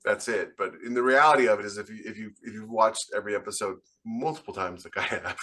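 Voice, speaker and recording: A man with a fairly low voice talks as a podcast co-host. His delivery is casual and conversational, rambling along in an animated storytelling way. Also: fast. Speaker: podcast co-host, military science fiction author. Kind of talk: casual panel chat.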